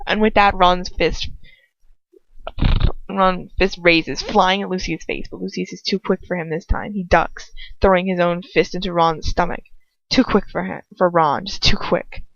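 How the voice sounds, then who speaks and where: A woman reading a story aloud in the first person with expressive, emotive delivery. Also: on the low side, measured pace, creaky, dry recording. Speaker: amateur audiobook reader. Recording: dull, lo-fi close recording.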